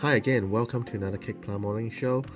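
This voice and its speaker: A man hosting a model-building show, explaining the steps plainly, with pauses and ums. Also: on the low side, fairly even intonation, over loud music. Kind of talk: hobby tutorial voice-over.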